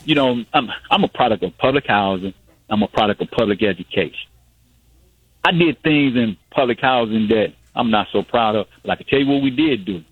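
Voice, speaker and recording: A man's voice: mid-range voice, lively intonation, brisk, slightly rough, no echo. A man in his sixties calling into a radio show, arguing insistently and repeating himself to press his points. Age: 60s. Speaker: caller. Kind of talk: radio call-in debate. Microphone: phone. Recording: tinny phone line.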